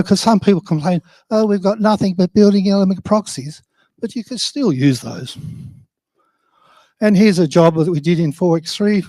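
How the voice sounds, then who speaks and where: A man's voice: a little high, warm tone, no echo. An older man with an Australian accent giving an informal conference presentation in a wry, self-deprecating, plain-spoken way. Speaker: conference speaker on infrastructure.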